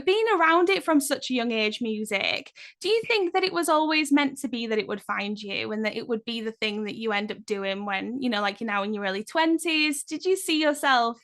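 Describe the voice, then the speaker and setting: A woman hosting an interview over a video call, asking long, effusive questions. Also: high-pitched. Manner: warm, effusive, rambling questions. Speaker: interview host. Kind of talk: casual interview.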